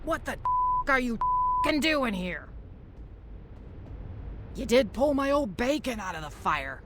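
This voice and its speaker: A woman's voice: on the high side, background noise. A feisty old innkeeper woman. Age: older. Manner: salty, bleeped swearing, brisk.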